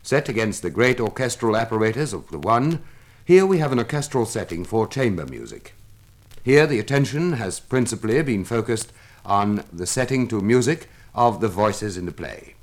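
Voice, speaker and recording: A man giving a formal, measured scripted narration as an announcer, recorded on a 1950s radio transcription disc. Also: on the low side, very animated. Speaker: announcer of a classical music demonstration record.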